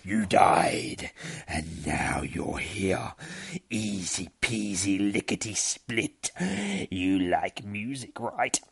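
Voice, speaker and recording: Slimy horror-comic demon, played by a male reader: low, dragging delivery, gloating and cruel, very dry sound. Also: creaky, husky, no room sound, exaggerated voice. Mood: mocking, playful menace. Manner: slow, drawn-out, menacing taunting. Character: slimy candy-obsessed demon, taunting and sadistic.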